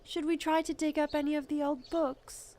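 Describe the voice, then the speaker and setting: A woman's voice: high voice, little pitch movement, normal speed, warm tone, slight background noise, lightly acted. A woman playing an earnest, naive and eager small-town woman in an improvised radio drama. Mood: earnest, dismayed.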